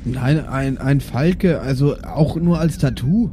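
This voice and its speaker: A man's voice: mid-range voice, takes it slowly, music in the background, lightly acted. A man playing a wary papa bounty hunter with a dry, hesitant, placating manner in an improvised audio game. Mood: dryly patient.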